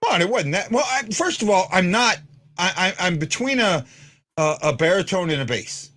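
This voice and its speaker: A man with a deep voice hosting a casual fan podcast, rambling with stumbling restarts. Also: big pitch swings, normal speed, a bit bright. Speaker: podcast host.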